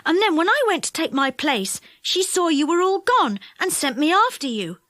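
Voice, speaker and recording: A woman voicing a cheerful young boy who tells his tale in an excited, tumbling storybook manner. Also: very high voice, moderate pace, no room sound, studio quality, pitched above the performer's own voice, exaggerated voice. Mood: excited, relieved.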